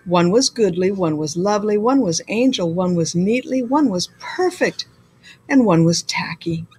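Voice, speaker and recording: A woman reading a children's story aloud like a school librarian, with deliberate, expressive delivery. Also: on the low side, breathy edge.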